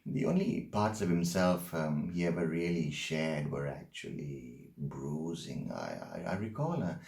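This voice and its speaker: Man acting Brocky, a deep, haunted, halting confessor. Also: big pitch swings, creaky, darkish tone, full character voice. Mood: brooding, hushed. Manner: halting, stammering, intense storytelling. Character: Brocky, unsettling haunted man confessing his troubled loves. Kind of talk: dramatic monologue as confession. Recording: roomy, echoing room.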